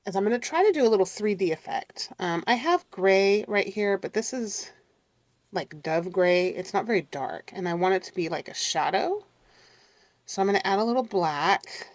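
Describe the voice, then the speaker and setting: A middle-aged woman with a raspy, congested voice from a cold, chatting casually to viewers as she works through a craft tutorial and keeps apologizing. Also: lowish voice. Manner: chatty, apologetic, talks to viewers while working. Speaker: craft channel host.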